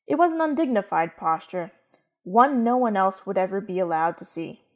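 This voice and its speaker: Female narrator, mid-pitched, for scripted dramatic storytelling. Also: animated, moderate pace.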